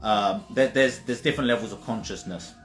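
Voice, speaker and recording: A man's voice: lowish voice, brisk. A man giving a casual spiritual talk, emphatic and repetitive, sometimes swearing. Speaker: spiritual teacher. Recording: roomy echo with faint music.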